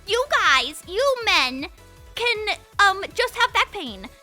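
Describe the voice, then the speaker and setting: A young woman hosts a casual livestream chat in a very high, strikingly bright voice. Her intonation moves around a lot as she rambles playfully from one thought to the next, talking to her viewers. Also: very animated, takes it slowly, faint music behind. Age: 20s. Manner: playful, rambling, says "like" constantly. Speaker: livestream host chatting with viewers.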